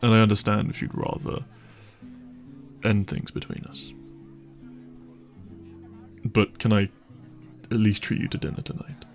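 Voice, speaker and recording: A man acts out a devoted, apologetic boyfriend in an intimate audio roleplay. His voice is low with a gravelly creak, and he speaks tenderly and sincerely, phrase by careful phrase, over faint music. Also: level tone, unhurried, long pauses. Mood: regretful, pleading. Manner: earnest, tender, hesitant phrasing with sighs. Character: apologetic, devoted, secretly wealthy boyfriend on a dinner date. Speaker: voice actor.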